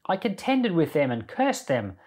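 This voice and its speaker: A man's voice: a little high, wildly swooping pitch, moderate pace, a little mellow. A man hosting a Bible podcast, reading scripture expressively and with emphasis, then commenting on it informally. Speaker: Bible podcast host and reader.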